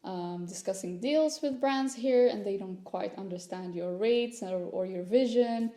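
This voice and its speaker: Mellow woman in an echoey room. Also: mid-range voice, a little slow. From Sweden. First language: Swedish. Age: young adult. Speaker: content creator. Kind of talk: casual personal vlog chat. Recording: roomy echo.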